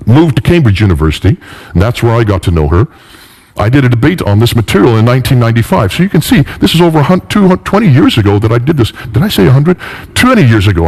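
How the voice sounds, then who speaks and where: Animated man lecturing emphatically. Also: mid-range voice, rough recording. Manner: emphatic, rhetorical questioning, repeats phrases for emphasis. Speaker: lecturer in religious history.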